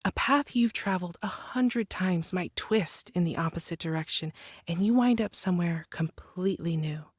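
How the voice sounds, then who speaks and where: An enigmatic woman from a monstrous world. Her voice is on the low side and a little breathy, recorded dry. She unspools her tale in a hushed, lyrical, gently teasing way, as in an audio drama. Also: no echo. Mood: musing, mysterious. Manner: measured, lyrical, dreamy. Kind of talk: audio drama acting, hushed bedtime storytelling.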